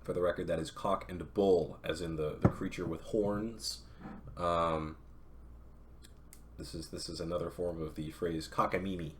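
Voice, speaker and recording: A man's voice: sits low, steady tone, normal speed, slight background noise. A young man reading a classic novel aloud on a stream, breaking off for chatty, self-correcting asides to his viewers.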